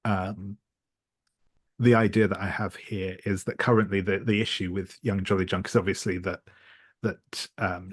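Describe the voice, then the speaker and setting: Deep-voiced, hesitant man, closely recorded. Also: husky, no echo. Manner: hesitant, halting, tentative. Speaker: tabletop roleplaying game player. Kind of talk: casual collaborative game brainstorming.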